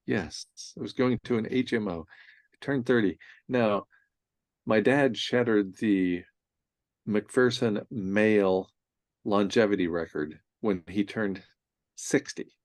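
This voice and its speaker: A man in his sixties hosting a casual conversation, telling stories in an animated way and laughing often. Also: lowish voice, slow, no room sound. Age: 60s. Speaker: host.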